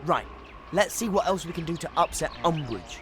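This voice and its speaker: A man's voice: fairly high pitch, animated, moderate pace, noisy background, lightly acted. An actor playing a determined, plucky teenage boy hero in a video game, direct and lightly sarcastic.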